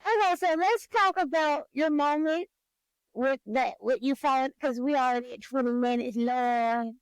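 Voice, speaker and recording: A woman's voice: high voice, unhurried, clean tone, no room sound, rough recording. A woman hosting a casual podcast who keeps the talk moving with questions and short reactions. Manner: prompting, chimes in with short reactions. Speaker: podcast host.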